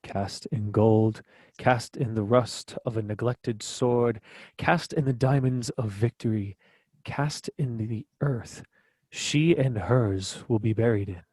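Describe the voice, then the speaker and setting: Man as solemn male archangel, mellow tone, dry sound, grave declamation, stage-play table read. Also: mid-range voice, takes it slowly, slightly rough, no echo. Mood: grandly prophetic. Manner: grave, declamatory, occasionally stumbling over the script.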